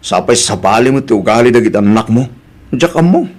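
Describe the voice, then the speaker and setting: A man's voice: medium pitch, expressive, a little fast, a little mellow, natural voice. Man acting an exasperated, chiding Ilocano husband. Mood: reproachful. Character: exasperated husband, blunt and chiding his wife. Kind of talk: Ilocano comedy drama dialogue.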